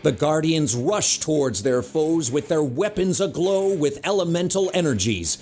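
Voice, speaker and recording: Animated male action narrator. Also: on the high side, moderate pace, faint music behind. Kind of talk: dramatic scripted narration.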